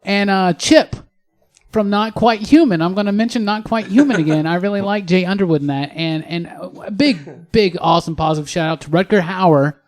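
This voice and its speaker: A man's voice: high-pitched, normal speed. A man co-hosting a casual podcast, talking with enthusiasm and emphasis. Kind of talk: casual panel discussion. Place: podcast studio.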